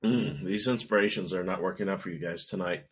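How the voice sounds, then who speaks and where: A man running a tabletop game as game master, relaxed and pausing often with fillers. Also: low voice, breathy edge. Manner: easygoing, halting with fillers.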